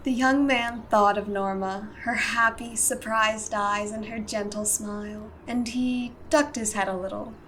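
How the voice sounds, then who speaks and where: A woman's voice: mid-range voice, fairly even intonation, measured pace, hardly any pauses, fairly bright tone, a little hiss or hum, low-quality recording. A woman reading scripted storytelling narration for a radio play.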